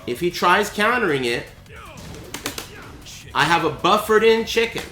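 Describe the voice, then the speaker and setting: A man with a raspy, cracking voice, teaching a fighting game on a live stream in a casual, explanatory way. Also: sits high, big pitch swings, unhurried, pushed and bright, roomy sound, soft background music. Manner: chatty, explanatory, asks "right?" often.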